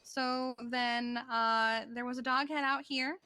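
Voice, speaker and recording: A woman improvising in game roleplay as a matter-of-fact, wry character who recounts things haltingly. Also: sits high, fairly even intonation, pushed and bright, smooth, dry recording, studio quality, heavily acted. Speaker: role-player in a live-streamed online game.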